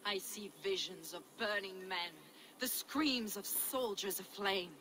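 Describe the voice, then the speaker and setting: An actress playing a learned, zealous medieval mystic, measured and preacherly, in a dramatic video game. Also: female voice, mid-range voice, bright, forceful tone, a little hiss or hum, rough recording. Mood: urgent.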